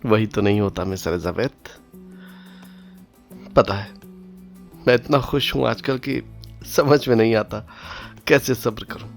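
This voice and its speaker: A male actor plays a haunted young scientist and devoted bridegroom in an audio drama. His low Indian-accented voice moves slowly, anxious and secretive, over faint music. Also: vocal fry. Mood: tender, amorous. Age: young adult. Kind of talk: Hindi audio drama acting and first-person narration.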